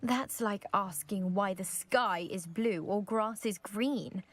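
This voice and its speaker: A woman's voice: medium pitch, takes it slowly. A woman playing a proud, eager young lady from a scholarly family in a video game, with a posh British accent and precise, self-assured delivery. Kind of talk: video game character acting.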